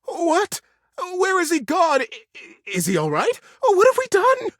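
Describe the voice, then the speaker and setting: Man voicing shrill, excitable beaver folk. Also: a little slow, no echo, studio quality, heavily acted. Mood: panicked shock. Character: excitable crowd of loyal, panicky beaver folk.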